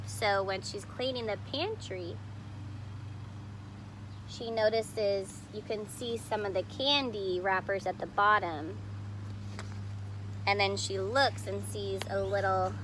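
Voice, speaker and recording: A woman's voice: medium pitch, steady tone, slow pace, pauses often, noisy background. A woman reading a picture book aloud expressively, stopping to explain the illustrations simply, as if to young children. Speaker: storybook reader.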